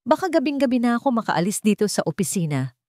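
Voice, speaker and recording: A woman's voice: on the high side, big pitch swings, fast talker, mellow, no echo, studio quality, pitched above the performer's own voice. A woman voicing a troubled, secretive, resolute husband in dramatic story dialogue. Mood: feigned calm. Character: troubled, secretive adult billionaire husband, guilt-ridden and resolute.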